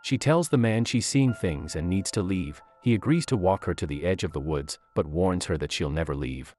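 A man narrating a movie recap in a scripted, steady storytelling manner. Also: low-pitched, moderate pace, soft background music.

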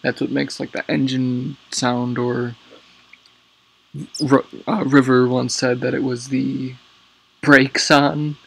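A man casually walking through his game build, rambling and hesitant with many pauses, his voice a little raspy because he is lying down. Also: mid-range voice, level tone, fairly bright tone, rough recording. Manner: rambling, hesitant, lots of ums.

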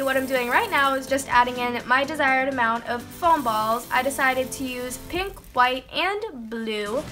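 Bubbly high-voiced young woman with a cold. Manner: bubbly and enthusiastic, explaining step by step. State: getting over a cold. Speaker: slime-making channel host. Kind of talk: upbeat tutorial voice-over. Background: background music.

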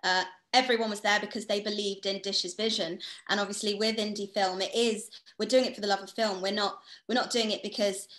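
A young woman with a bright, forward voice speaks on a video call from her home. The room rings around her, giving an echoey sound. She talks in an earnest, reflective way as an actor discussing her work. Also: medium pitch, fairly even intonation, brisk, few pauses. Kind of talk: casual chat in a video call Q&A. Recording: roomy video call audio.

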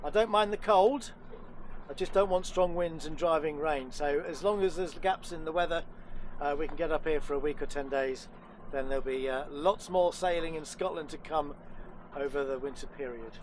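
A man's voice: fairly high pitch, moderate pace, a little hiss or hum. Chatty man filming himself on a sailboat. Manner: relaxed, chatty, self-deprecating. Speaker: vlogger host. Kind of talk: casual chat to camera. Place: outdoors on a sailboat. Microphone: camera at arm's length.

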